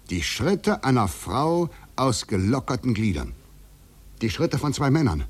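A man's voice: medium pitch, close to an everyday voice. An actor in a 1950s literary radio drama playing a taunting, eloquent male fugitive in a poetic, ironic manner.

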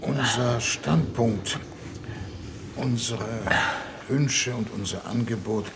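Gravelly, pompous statesman. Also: mid-range voice. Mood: solemn, insistent. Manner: solemn, rhetorical, halting. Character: formal, pompous statesman leading a diplomatic mission. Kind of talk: 1950s German radio play acting. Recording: noisy 1950s radio recording.

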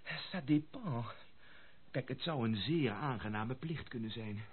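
An actor playing an excitable, effusive young gentleman heir in a radio drama, exclaiming and stammering when agitated. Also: medium pitch, unhurried, breathy edge. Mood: agitated, troubled. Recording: muffled lo-fi radio play recording.

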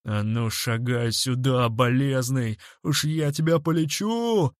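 A man's voice: fairly high pitch, big pitch swings, unhurried, dry recording, studio quality, voice pushed up. Man playing a growling, hot-headed young brawler. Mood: threatening. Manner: growling, roaring, threatening.

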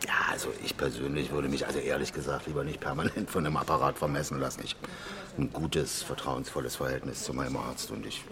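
An actor playing a middle-aged conservative politician in a radio drama, reserved and courteous, speaking in formal, hesitant phrases. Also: male voice, a bass voice, a little fast, crowd noise. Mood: polite, hesitant. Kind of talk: naturalistic radio drama dialogue.

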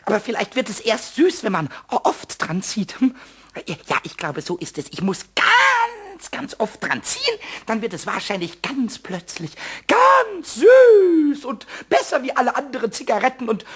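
Radio play kobold, childlike and whiny, very high raspy squeak, stammers and exclaims. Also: male voice, huge pitch swings, measured pace, a bit bright, exaggerated voice. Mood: curious, musing. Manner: stammering, exclaiming, repeating words. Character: small childlike male kobold, naive, whiny, melodramatic. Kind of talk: German radio play acting.

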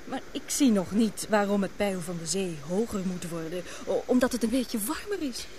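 An actress playing an earnest young woman in a radio play, hesitant, questioning and expressive. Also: medium pitch, normal speed, a little hiss or hum, natural voice. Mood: doubtful, worried. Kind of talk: radio drama acting.

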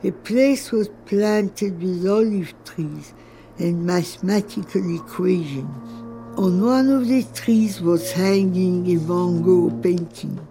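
An older woman from Lebanon reading her own literary text in a measured, contemplative, poetic way. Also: on the low side, warm tone, low-quality recording. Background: music.

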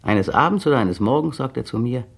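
A man's voice: medium pitch, lively intonation, brisk, vocal fry. An actor playing a bitter, sardonic man who mockingly taunts his young partner in a 1960s radio drama. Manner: mocking, sardonic and wildly shifting. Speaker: male lead in a radio play.

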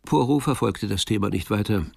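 Male narrator, deep-ish, heavily gravelly, dry close sound, scripted radio drama storytelling. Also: brisk, no room sound.

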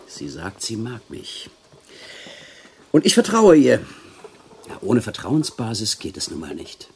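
An actor playing a calm, resigned, trusting prison inmate with a husky voice and a measured manner in a radio drama. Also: male voice, lowish voice, full character voice. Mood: reserved.

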